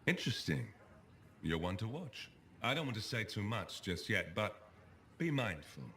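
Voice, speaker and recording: A secretive agent of an emperor in a video game. His voice is fairly low, and he speaks in measured, guarded, insinuating phrases over faint ambience. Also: sing-song, bright, forceful tone, a little hiss or hum, full character voice. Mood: intrigued, cryptic. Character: cryptic, secretive man scouting fighters for the Emperor.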